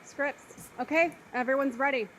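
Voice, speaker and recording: Higher-voiced woman narrating, slight background noise. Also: moderate pace, a bit bright, poor audio. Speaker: narrator reading stage directions. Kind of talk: scripted narration for live table read.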